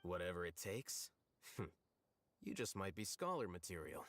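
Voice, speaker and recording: A man's voice: sits low, animated, moderate pace, a bit bright. An actor voicing an aloof, smug male scholar in a video game, dry and self-satisfied.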